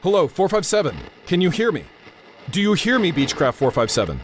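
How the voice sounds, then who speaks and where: An actor playing a steady, grave radio operator who gives precise, authoritative instructions and narrates in the style of old-time radio drama. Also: male voice, sits high, fairly quick, noisy background. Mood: urgent.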